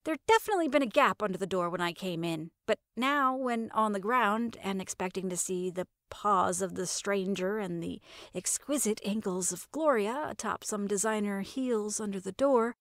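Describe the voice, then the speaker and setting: A woman reading first-person comedic fiction in a wry, sardonic, expressive storytelling manner. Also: on the high side, dry recording, studio quality. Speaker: narrator of fiction podcast.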